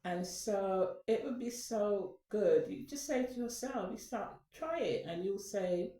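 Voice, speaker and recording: A woman's voice: medium pitch, lively intonation, a little slow, dark tone, distant mic. A woman talking casually to her viewers, rambling with many fillers and restarts. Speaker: channel host. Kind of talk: casual spoken talk. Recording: echoey room, away from the mic.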